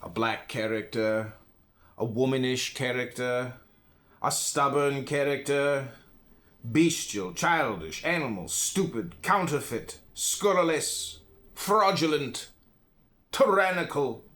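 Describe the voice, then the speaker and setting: A man's voice: mid-range voice, lively intonation, slow pace, fairly bright tone. A man reading a philosophy audiobook, stressing key words and phrases with dramatic emphasis. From United States. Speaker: audiobook reader.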